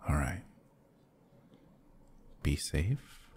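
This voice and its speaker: A man playing a courteous, protective, brooding vampire lord in an intimate ASMR roleplay, speaking gently and formally with a husky, halting delivery. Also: a bass voice, very animated, slight background noise, exaggerated voice. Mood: uneasy, urgent.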